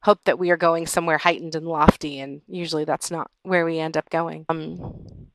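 Woman, lowish voice, podcast host, halting and reflective, conversational interviewing, close dry sound. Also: little pitch movement, a bit bright, no echo.

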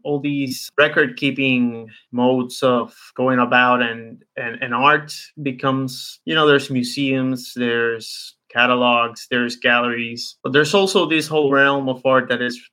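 A man in a casual podcast discussion, speaking in a halting, meandering way and often repeating words. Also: mid-range voice, steady tone, sharp tone. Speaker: podcast co-host.

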